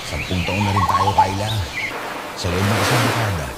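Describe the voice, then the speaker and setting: A man's voice: low voice, steady tone, moderate pace, heavy background noise, natural voice. A man playing an earnest, devoted boyfriend of about thirty in a radio drama, speaking expressively. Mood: tender, hopeful. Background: loud music.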